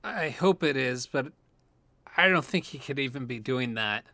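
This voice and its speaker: A man's voice: medium pitch, animated, normal speed, sharp tone, no echo. A young man acting as a cautious, nervy gamer in a naturalistic audio drama. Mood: uneasy.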